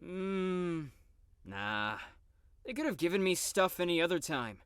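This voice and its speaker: A defiant young patient with a smooth, clean voice that swings around in pitch. The delivery is cocky, blunt and sarcastic, made for an audio drama and recorded very dry. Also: big pitch swings, unhurried, a bit bright, no echo. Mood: dismissive, sarcastic. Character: brash, crude, defiant long-term young patient.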